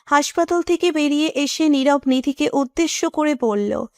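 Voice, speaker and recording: High-voiced woman narrating a story. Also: moderate pace, darkish tone, dry recording, clean studio sound. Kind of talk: scripted romantic story narration.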